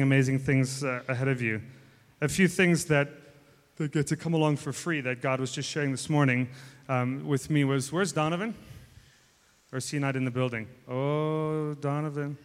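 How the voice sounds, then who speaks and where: A man with a mixed American and South African accent preaches in a steady, flat-toned voice. He talks informally, stumbles and repeats words, and his voice carries a long reverb from the church hall. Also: medium pitch. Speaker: guest preacher. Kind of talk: church sermon.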